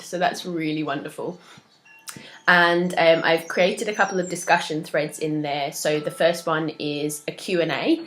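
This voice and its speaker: A young woman talking on her own about her knitting and her group, her voice sitting a touch low. She sounds a little stuffed up from a cold and chats along loosely, full of ums and small laughs. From moved to Australia from elsewhere. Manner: chatty, warm-hearted, many ums and soft laughs. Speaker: knitting podcast host. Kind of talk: casual solo chat to camera.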